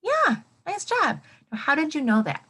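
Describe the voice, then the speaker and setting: Animated, bright-voiced woman teaching young children. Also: high-pitched. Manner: encouraging, prompting with questions and pausing for answers; exaggerated, child-directed expressiveness. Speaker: elementary school teacher. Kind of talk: interactive read-aloud lesson for young children.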